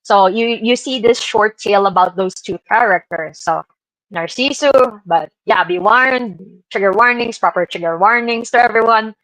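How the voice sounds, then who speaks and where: A woman's voice: mid-range voice, normal speed, fairly bright tone, no echo, low-quality recording. A woman presenting informally to co-hosts on an online show, in a hesitant, conversational way. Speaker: podcast presenter. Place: online live stream call.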